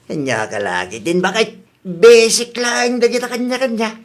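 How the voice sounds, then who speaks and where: A woman's voice: a little high, lively intonation, normal speed. A woman with an Ilocano Filipino accent playing a lazy, teasing spouse in a radio comedy drama, drawling and offhand.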